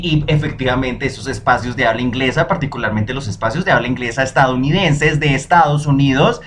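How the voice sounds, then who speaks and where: A young man hosting a solo podcast, talking in a lively, emphatic way and dropping in foreign phrases. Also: a little high, slight background noise. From Colombia. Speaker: podcast host.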